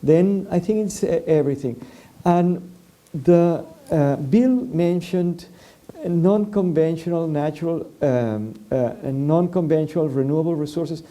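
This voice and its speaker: Hesitant Venezuelan man with a dark tone. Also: a little high, measured pace. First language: Spanish. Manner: hesitant, many fillers and restarts. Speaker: panelist. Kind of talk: public forum discussion.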